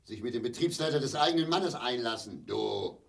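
An actor playing a grumpy, coarse middle-aged East Berlin husband in a grotesque radio play, gruff and vulgar. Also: male voice, medium pitch, expressive, brisk. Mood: sour, mocking. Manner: gruff, vulgar, muttering then bellowing.

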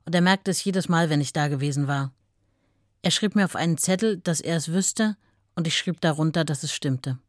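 A woman's voice: sits low, normal speed, natural voice. An actress playing a worn-out, candid ex-wife who gives her recollections in a radio drama. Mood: matter-of-fact.

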